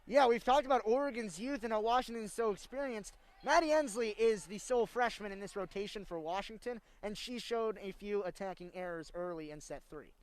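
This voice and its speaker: A woman's voice: medium pitch, brisk, hardly any pauses, a little mellow, poor audio. A young woman giving live color analysis during a radio broadcast of a volleyball match. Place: sports arena broadcast.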